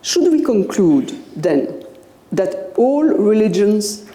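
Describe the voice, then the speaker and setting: An older woman with a Belgian French accent, a native French speaker, giving a public lecture in English at a podium microphone, measured and pausing often with "uh". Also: mid-range voice, very animated, mellow. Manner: slow, measured, with frequent "uh" pauses. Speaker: guest lecturer. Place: lecture room. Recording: echoey hall with long reverb.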